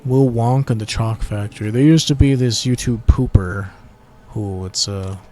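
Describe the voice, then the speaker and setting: A man's voice: fairly low pitch, normal speed, mellow, faint music behind. A young adult man with a tired voice, giving laid-back, slangy gameplay commentary and swearing at mishaps. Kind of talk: casual let's-play commentary.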